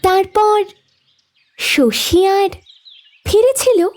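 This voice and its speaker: A woman playing a determined, earnest young woman in an audio story, speaking with deliberate care. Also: very high voice, lively intonation, a little mellow, exaggerated voice. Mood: anxious, hesitant. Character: determined young woman searching her grandmother's past, cool, earnest. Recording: music bed behind the voice.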